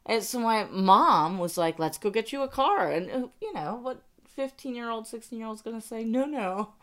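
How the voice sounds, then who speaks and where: A woman's voice: on the high side, animated, moderate pace, fairly bright tone. A woman in her forties from Pennsylvania, co-hosting a casual money podcast, chatty, laughing often and poking fun at herself. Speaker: podcast co-host. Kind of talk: casual chat.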